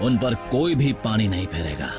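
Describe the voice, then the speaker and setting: A man's voice: fairly low pitch, big pitch swings, normal speed. A man playing a brash, loyal robot soldier in a cartoon dub, with a cocky, wry manner. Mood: proud, warm. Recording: dull audio, music behind.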